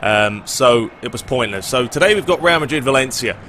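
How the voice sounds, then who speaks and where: A man's voice: medium pitch, animated, a little fast, sharp tone, a little hiss or hum. A man hosting a gaming video, chatting informally and giving his opinions as he answers questions in a casual commentary style.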